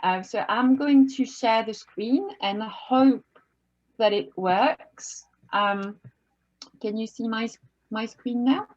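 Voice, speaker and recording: A woman's voice: on the high side, slow pace, a little mellow, highs cut off. A woman hosting an online panel from home over a video call, friendly and chatty, with many fillers and restarts. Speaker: webinar host and moderator. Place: home office.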